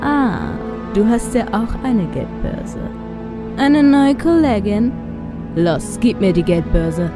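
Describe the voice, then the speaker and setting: Slow, menacing villainess. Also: female voice, medium pitch, sing-song, music in the background. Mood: mock sweetness. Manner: slow, commanding, mocking. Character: menacing, elegant villainess holding children captive. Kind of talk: radio play acting.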